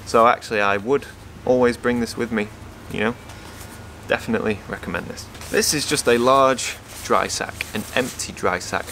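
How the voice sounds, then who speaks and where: A man's voice: lowish voice, fairly bright tone, slight background noise. A man in his thirties from England, chatting casually to camera in a garden while reviewing his hiking gear, upbeat and full of asides. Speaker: outdoor photographer and vlogger. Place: outdoor garden patio in front of a brick house. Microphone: camera on a stand facing him, close.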